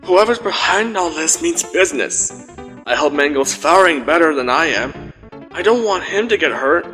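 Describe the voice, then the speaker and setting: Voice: mid-range voice, animated, moderate pace, music in the background, lightly acted. A performer voicing an earnest, inquisitive alligator secret agent in a cartoon fandub, with theatrical delivery. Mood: worried.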